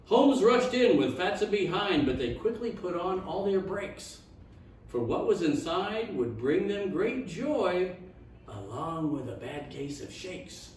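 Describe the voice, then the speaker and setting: Echoey, high-pitched man telling a children's story. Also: takes it slowly. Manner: theatrical, expressive reading. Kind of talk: rhyming children's storytime narration. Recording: echoey room, distant mic.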